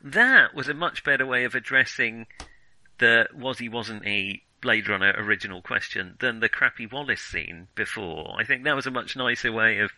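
Bright, forceful man in opinionated film chat. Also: medium pitch, moderate pace, no echo, poor audio. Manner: hesitant, self-interrupting, opinionated. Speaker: film discussion podcast host. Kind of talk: casual film criticism chat.

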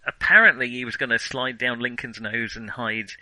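A man's voice: medium pitch, steady tone, moderate pace, bright, forceful tone, no room sound, low-quality recording. A man hosting a casual film discussion, talking in a rambling, conversational and amused way. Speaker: film podcast host.

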